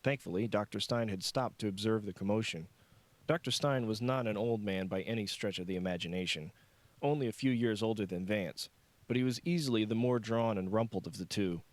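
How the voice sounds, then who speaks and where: A man's voice: lowish voice, fairly quick, no room sound. A man reading fiction aloud as a scripted audiobook narration.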